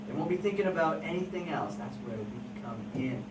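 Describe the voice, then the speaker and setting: A persuasive, scheming news photographer as an amateur actor reads the part at a table read. The delivery is emphatic and intense, swinging widely in pitch. It is heard from far off in an echoey room. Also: animated, brisk, lightly acted. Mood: sly, menacing. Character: scheming, persuasive crime photographer who stages fake scenes. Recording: distant, echoey, noisy room recording.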